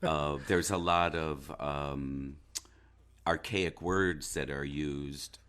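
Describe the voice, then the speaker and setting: Male interview guest, extremely deep voice. Stage actor reflecting on his craft, pausing to find words. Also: fairly bright tone, smooth. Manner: thoughtful, halting, searching for words.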